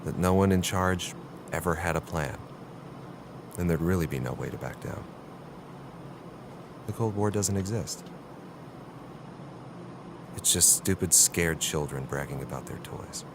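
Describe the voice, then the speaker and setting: A man playing an earnest, dogged American reporter in a Cold War radio drama, intense and a little halting, with an American accent. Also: low voice. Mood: bitter, reflective. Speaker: investigative reporter. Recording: clearly noisy ambience behind the voice.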